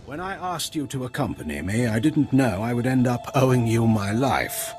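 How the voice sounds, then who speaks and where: An actor playing a haughty, commanding imperial admiral in a video game, speaking formally and with authority. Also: male voice, medium pitch, animated, normal speed, natural voice. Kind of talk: video game cutscene acting. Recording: game music behind the voice.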